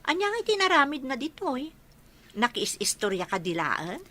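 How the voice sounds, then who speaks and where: Bright-voiced young Ilocano daughter. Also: female voice, sits high, very animated, moderate pace, no room sound, exaggerated voice. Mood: inquiring, concerned. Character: caring, practical young adult daughter and girlfriend. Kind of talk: Ilocano radio drama acting.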